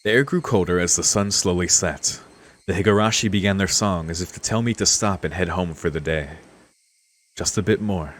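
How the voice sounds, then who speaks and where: A man's voice: low-pitched, moderate pace. A man streaming a visual novel, mixing read-aloud narration with casual commentary. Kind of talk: casual let's-play commentary and reading aloud.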